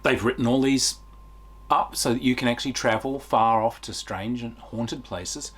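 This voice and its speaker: A man giving an unscripted, enthusiastic game review in a conversational way, with emphatic stresses and a husky voice. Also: mid-range voice, normal speed, a bit bright. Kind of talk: unscripted review talk to viewers.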